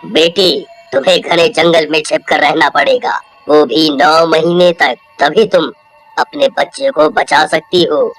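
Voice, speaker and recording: Woman doing old sadhu baba, wise and solemn, dropped low voice, cartoon, faint music. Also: expressive, no echo, heavily acted. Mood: solemn, reassuring. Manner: solemn, measured, all-knowing. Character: wise, calm old male holy hermit. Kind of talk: Hindi horror cartoon voice acting.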